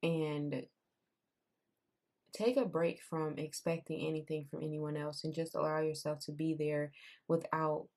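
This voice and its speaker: Low, flat-voiced woman reading tarot. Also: a little slow. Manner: conversational, repeats herself, says "I'm seeing" often. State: raspy voice. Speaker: tarot reader. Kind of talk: casual pick-a-card tarot reading.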